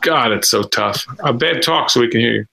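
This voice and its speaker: A man in his thirties talks loosely over a video call. His voice is gravelly and bright, and he rambles and backtracks with plenty of "uh"s as he sizes up contestants. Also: mid-range voice. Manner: rambling, halting with many fillers. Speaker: livestream co-host. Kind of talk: casual chat about reality TV contestants.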